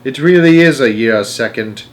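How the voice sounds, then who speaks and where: A man's voice: mid-range voice, expressive, normal speed, lightly acted. A man voicing a cheerful, mild-mannered husband in a storybook, pleased and untroubled.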